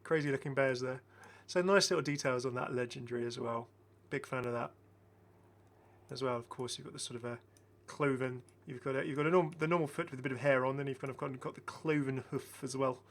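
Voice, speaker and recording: A man's voice: mid-range voice, fairly even intonation, brisk. A man giving casual, enthusiastic game commentary as a chatty, rambling video host.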